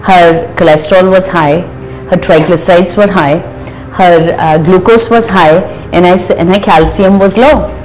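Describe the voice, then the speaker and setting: A woman's voice: lowish voice, fairly even intonation, normal speed, a little mellow, soft background music, poor audio. A woman doctor describing a patient in an interview, in a matter-of-fact, clinical way. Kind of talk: interview recollection.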